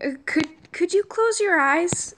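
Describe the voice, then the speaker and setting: Woman voicing flustered, high-voiced young space pilot guys. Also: lively intonation, measured pace, a bit bright, slight background noise, full character voice. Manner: stammering, emotional.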